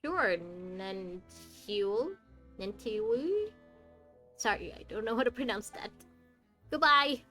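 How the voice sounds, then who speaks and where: A woman's voice: a little high, animated, takes it slowly, sharp tone. A woman giving casual, chatty live playthrough commentary, playfully talking back to the characters. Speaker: game streamer. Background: faint game music.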